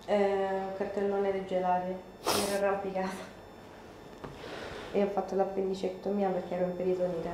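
A woman's voice: medium pitch, monotone, normal speed. A young woman answering casually as a patient lying down in a treatment room. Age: young adult. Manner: lying down while speaking. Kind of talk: casual answers during an exam. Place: small treatment room indoors. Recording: roomy, noisy pickup with room ambience.

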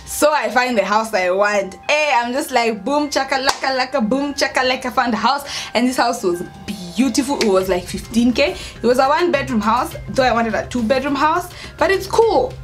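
A young woman with a Kenyan accent telling a story casually to camera, dramatic and acting out the dialogue as she goes. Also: on the high side. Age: young adult. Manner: dramatic, acts out dialogue, code-switches into Swahili. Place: indoors by an open doorway at home.

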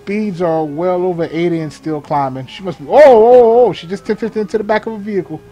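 A man's voice: sits high, fairly quick, warm tone, muffled recording, slight background noise. A man doing improvised police radio call-outs in a game, mixing clipped procedural jargon with casual muttered asides. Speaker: gamer playing a sheriff's deputy in a police patrol role-play. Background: game vehicle and ambient sounds.

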